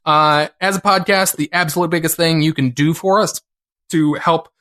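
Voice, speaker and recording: A man co-hosting a podcast in casual chat, halting and rambling with lots of restarts. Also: a little high, fairly even intonation, bright, forceful tone. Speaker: podcast co-host.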